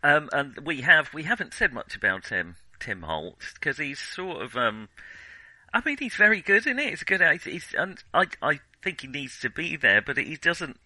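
A man's voice: a little high, big pitch swings, moderate pace, bright, forceful tone, no room sound, poor audio. A man co-hosting a film podcast in casual discussion, meandering and correcting himself as he feels his way through his thoughts. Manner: hesitant, meandering, self-correcting.

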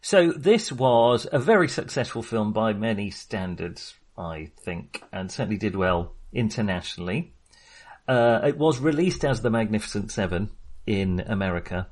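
A man's voice: on the low side, expressive, moderate pace, a little mellow. Rambling, enthusiastic man chatting about films. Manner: rambling, enthusiastic, self-interrupting; sweary. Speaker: film discussion podcast host. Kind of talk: casual film discussion.

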